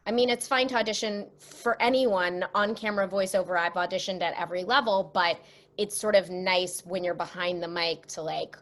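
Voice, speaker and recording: A woman chatting casually as an interview guest, expressive and jokey. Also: fairly low pitch, normal speed. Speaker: voice actress guest.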